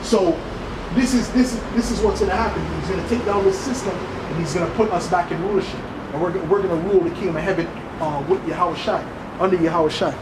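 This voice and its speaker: A man with a fairly high-pitched voice explains scripture in an informal, insistent street-preacher style, often tagging his points to seek agreement. The sound is echoey, with very loud ambient noise around him. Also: fast. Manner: insistent, repeatedly seeking agreement with tags like right and okay. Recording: echoey, very noisy outdoor street recording.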